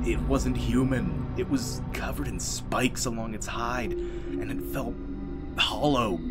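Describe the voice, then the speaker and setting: A man playing a battle-scarred, haunted soldier in an audio drama, telling his story in a halting, intense, often breathy monologue. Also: low voice. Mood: shaken, intense. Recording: loud music under the voice. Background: loud music.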